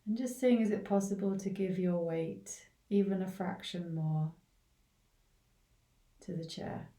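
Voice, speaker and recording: A woman leading a guided body scan meditation in a gentle, unhurried way, leaving long pauses between short phrases. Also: on the low side. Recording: roomy, echoing, distant from the mic.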